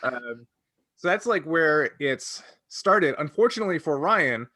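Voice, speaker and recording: A man's voice: fairly high pitch, expressive, measured pace, sharp tone, no room sound. A man chatting casually as a podcast co-host, reminiscing in a rambling, hesitant way that often doubles back on itself. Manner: rambling, hesitant, self-interrupting. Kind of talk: casual chat, personal reminiscing.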